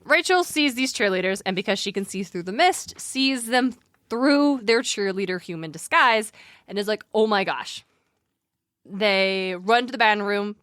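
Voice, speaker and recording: A woman's voice: medium pitch, animated, unhurried, a bit bright, no echo, clean studio sound. A woman hosting a podcast in casual, chatty banter, with an informal, wry way of talking. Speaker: podcast host.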